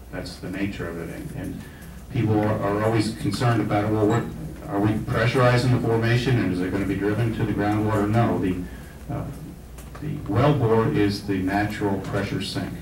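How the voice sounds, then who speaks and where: A man answering audience questions on drilling at a public meeting, steady with many "uh" fillers. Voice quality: low, flat, many fillers. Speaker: panel speaker on gas drilling. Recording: echoey hall, far mic, noisy.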